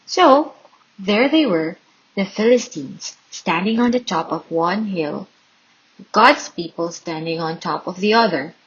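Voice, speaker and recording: A woman reading a children's Bible story aloud in an expressive, dramatic and deliberate storytelling style. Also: medium pitch, husky, dull audio. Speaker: storybook reader.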